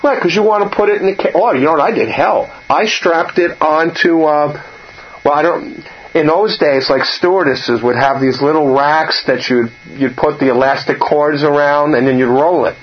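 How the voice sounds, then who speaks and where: An older man with a low voice, hosting a casual radio chat and telling stories with lively jumps in pitch. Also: normal speed, breathy edge, dull audio. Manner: storytelling, animated, jumps up in pitch.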